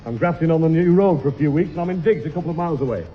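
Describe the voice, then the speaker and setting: An actor playing a rough-and-ready male labourer in a 1960s radio sitcom, blunt and over-familiar, with a Northern English accent. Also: on the high side, very fast, faint music behind, lightly acted. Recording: muffled 1960s radio broadcast.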